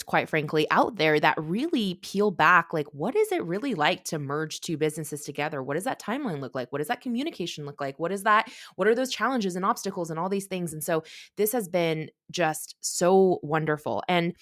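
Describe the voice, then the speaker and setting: A woman host with a low voice wraps up the interview in an enthusiastic, earnest tone. The sound is dry and close, with no room around it. She speaks in a warm, reflective podcast manner. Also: expressive, moderate pace, dry recording.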